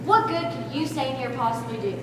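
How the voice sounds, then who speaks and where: A woman's voice: high voice, lively intonation, a little fast, crowd noise, voice pushed down. A young woman playing a desperate, pleading mother in an amateur stage drama. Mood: desperate, urgent. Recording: very echoey hall, distant, background noise.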